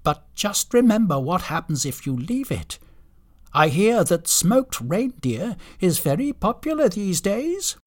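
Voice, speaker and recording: Bossy storybook wife of Santa performed by a man: scolding, threatening, high and swooping. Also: big pitch swings, measured pace, heavily acted. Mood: commanding. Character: stern, bossy wife of Father Christmas.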